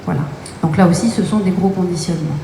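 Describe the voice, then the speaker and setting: A woman's voice: on the low side, quick pace, mellow. A woman from Brittany, a company co-owner, answering interview questions with many hesitations. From Brittany, France. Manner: explanatory, many hesitation fillers. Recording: echoey room with faint noise.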